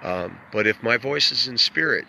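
A man's voice: mid-range voice, animated, normal speed, gravelly, pushed and bright, a little hiss or hum, low-quality recording. A man hosting a casual livestream outdoors by a lake, greeting viewers by name and musing at length. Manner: greets viewers by name, rambling reflective talk. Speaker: livestream host. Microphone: phone held while filming.

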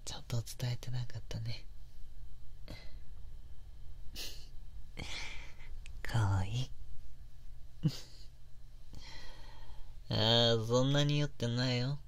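A man playing a tipsy, affectionate older boyfriend in an intimate ASMR audio drama, sweet and clingy in soft, lingering murmurs. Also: medium pitch, normal speed, slight background noise, natural voice. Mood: sleepy, tender. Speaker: online voice actor.

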